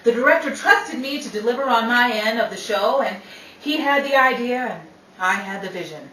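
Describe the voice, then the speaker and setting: A woman's voice: fairly high pitch, lively intonation, normal speed, pushed and bright. A woman playing a brassy, exasperated 1930s stage star in old-time radio comedy style, bossy and wisecracking. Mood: boastful, snappy. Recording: roomy, distant, noisy amateur radio-play recording.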